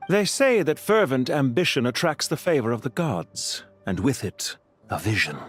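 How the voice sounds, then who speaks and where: An actor playing a grandiose, condescending villain mastermind in a video game, speaking in a measured, theatrical way. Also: male voice, mid-range voice, big pitch swings, faint music behind. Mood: brooding ambition. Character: male villain, cool, grandiose, scheming mastermind commander.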